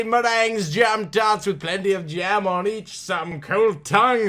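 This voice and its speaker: Male storybook narrator, highish and very bright, dramatic delivery that stretches key words and swells and falls. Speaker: reader of a storybook. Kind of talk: theatrical comic audiobook narration.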